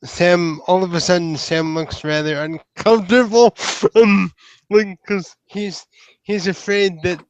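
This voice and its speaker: A man's voice: high-pitched, steady tone, a little slow, clean tone, no room sound. A man improvising narration as a player in a tabletop roleplay, hesitant and searching for his words.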